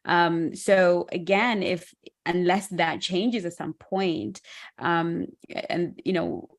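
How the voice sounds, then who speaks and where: A middle-aged woman hosting a panel discussion on dating, speaking reflectively and pausing to restart her thoughts. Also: on the low side, steady tone. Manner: reflective, measured, with many restarts. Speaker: host.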